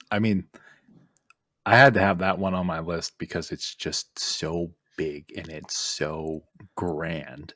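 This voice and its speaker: Male co-host, low register, relaxed conversational banter, no room sound. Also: no room sound, clean studio sound. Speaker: podcast co-host. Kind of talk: casual banter and opinion.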